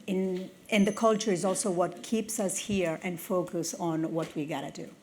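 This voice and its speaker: A woman's voice: on the low side, fairly even intonation, moderate pace, darkish tone, a little hiss or hum. A woman from Italy with an Italian accent, a tech executive giving an earnest conference talk with personal stories. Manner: earnest, storytelling, with restarts. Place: conference hall with audience.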